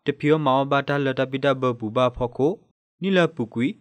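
A man's voice: mid-range voice, moderate pace, dry recording. Male radio news reader, dry sound. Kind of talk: scripted radio news reading.

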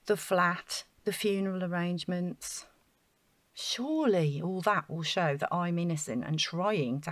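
A woman's voice: lowish voice, dry recording. Woman acting an anxious, hesitant woman, close and dry. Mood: anxious, grateful. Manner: hesitant, sighing, emotional. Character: Rachel, anxious, vulnerable young woman, apologetic and earnest. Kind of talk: naturalistic audio drama acting.

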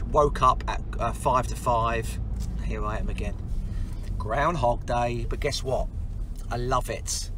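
A man's voice: mid-range voice, fairly bright tone. A man in his forties with a London accent, talking to camera while driving, upbeat and motivational, rambling with plenty of fillers. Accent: London English. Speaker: business owner vlogger. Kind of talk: casual car vlog monologue. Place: inside a car while driving. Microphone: camera on the dashboard. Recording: car road noise behind.

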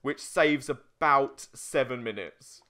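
A man's voice: mid-range voice, slow pace, pushed and bright. A man hosting a let's play, chatting casually and jokingly over competitive gameplay. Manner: joking, easily amused. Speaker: let's play host and gamer.

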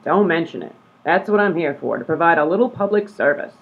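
A man's voice: a little high, lively intonation, brisk, creaky. A man playing a wily, folksy huckster in a comedy radio play, glib and colloquial. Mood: glib, helpful. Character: wily, folksy huckster, cheerfully swindling travelers. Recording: tinny, phone-like audio.

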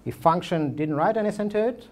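A man's voice: high-pitched, animated, fairly quick. A man in his thirties giving a conference talk with slides, speaking casually with many fillers and restarts. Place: meetup room, indoors.

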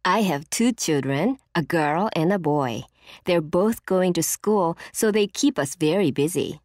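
Low-voiced, matter-of-fact busy mother, acted by a woman. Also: animated, a little slow, a little mellow, dry recording, studio quality. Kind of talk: scripted small talk for an English listening exercise.

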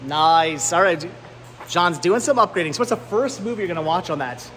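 Enthusiastic, rambling man, higher-pitched voice. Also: animated, fairly bright tone, slight background noise. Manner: enthusiastic, rambling, halting repetition of words. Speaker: vlog host. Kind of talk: casual chatty vlog narration. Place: large indoor flea market and warehouse loading area. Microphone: handheld camera at arm's length.

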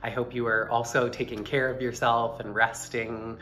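A man's voice: mid-range voice, little pitch movement, moderate pace, sharp tone. A man in his thirties chatting casually to camera in an upbeat, effusive way as a vlogger. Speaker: vlogger and musician. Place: small room indoors. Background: instrumental music.

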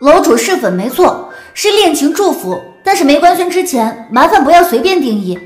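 High, excitable gossip girl. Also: normal speed, echoey room, faint music behind. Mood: insistent. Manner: emphatic, exclamatory. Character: excitable, opinionated young female internet gossip poster. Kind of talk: audio drama acting.

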